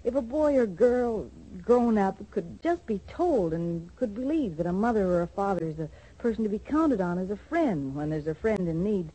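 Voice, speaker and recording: An actress playing a gentle, worried middle-aged mother in a 1940s radio soap, speaking hesitantly and tenderly. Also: mid-range voice, expressive, normal speed, hardly any pauses, husky, low-quality recording. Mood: earnest advising.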